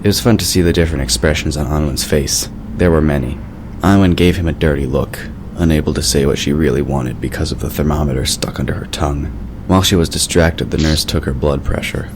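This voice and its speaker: Male narrator, extremely deep voice, scripted storytelling, noisy background. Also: fairly quick.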